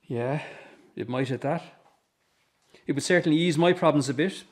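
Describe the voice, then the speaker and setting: A man's voice: a little high, normal speed, natural voice. A middle-aged man acting in a radio drama as a regretful estranged husband and father, subdued and resigned in manner. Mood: weary, worried.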